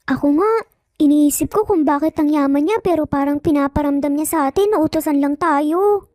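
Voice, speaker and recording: A woman's voice: high-pitched, brisk, darkish tone, no echo, clean studio sound, pitched above the performer's own voice, natural voice. A woman voicing curious, anxious six-year-old children in an audio story, earnest and questioning. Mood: earnest, wondering. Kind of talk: Tagalog audio story dialogue.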